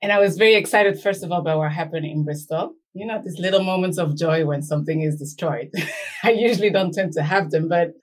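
A woman's voice: lowish voice, fairly quick. A middle-aged woman from Belgium, an academic guest speaking reflectively and anecdotally in an interview. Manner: reflective, anecdotal, checks in with "right?".

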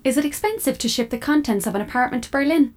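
A woman's voice: sits high, expressive, fast, a little mellow. A woman playing an opinionated, chatty, cheeky talking fridge in an audio drama. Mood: wistful, chatty. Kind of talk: audio drama comic acting.

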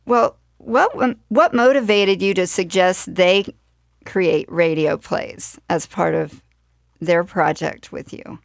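A woman's voice: lowish voice, slow pace, vocal fry, no room sound. A woman hosting a conversational live radio interview from a studio.

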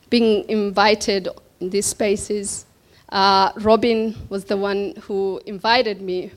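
Slow, pausing woman from Somalia. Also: medium pitch. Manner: slow, reflective, with many pauses and fillers. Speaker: keynote speaker. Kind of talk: personal story speech. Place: event hall before an audience.

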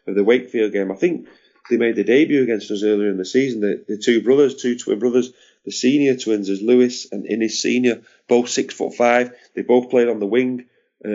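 Hoarse man chatting. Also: fairly low pitch, darkish tone, dull audio. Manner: halting, repeats words, chuckles. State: hoarse, losing his voice. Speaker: podcast co-host. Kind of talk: casual sports chat.

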